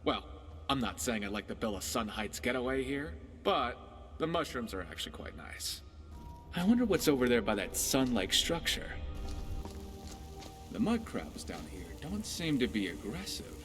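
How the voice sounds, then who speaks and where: A roguish pirate companion from a fantasy video game, with a medium-pitched voice and a wry, musing way of talking. Faint music plays behind him. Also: male voice, big pitch swings, normal speed, a bit bright. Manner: wry, conversational, philosophical. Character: roguish, witty pirate adventurer companion, male.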